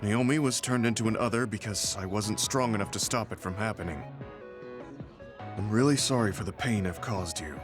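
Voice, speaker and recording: A man's voice: fairly low pitch, moderate pace, over music, lightly acted. A man playing an earnest, serious comrade in an English game dub, speaking in a subdued, sincere way. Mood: remorseful.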